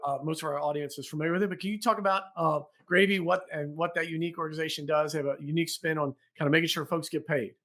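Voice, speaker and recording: Male podcast host, high-pitched and rapid, energetic and emphatic; bright, forceful tone. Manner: energetic, emphatic, quick interjections. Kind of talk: conversational interview.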